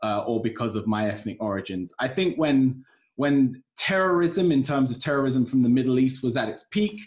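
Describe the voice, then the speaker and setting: Thoughtful man, mid-pitched voice. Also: a little mellow. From United Kingdom. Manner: measured, thoughtful, hesitant with fillers. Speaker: podcast co-host. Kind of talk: podcast conversation.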